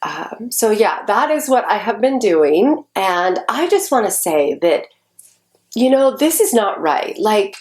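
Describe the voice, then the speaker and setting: A woman's voice: mid-range voice, sing-song, slow. A woman in her forties, stuffy and congested, chatting casually to the camera in a rambling way with lots of ums. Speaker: vlogger.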